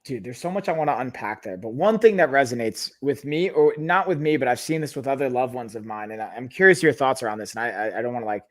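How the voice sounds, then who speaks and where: A man's voice: mid-range voice, lively intonation, quick pace, hardly any pauses. A man hosting a podcast, thinking aloud in a rambling, thoughtful way, full of fillers and restarts. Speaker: podcast host. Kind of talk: casual podcast conversation.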